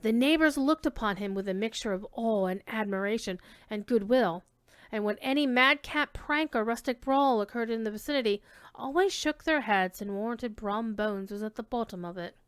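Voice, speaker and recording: A woman giving an expressive dramatic reading of classic literary narration, with emphasis on key words. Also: on the high side, moderate pace, smooth, dry recording, studio quality.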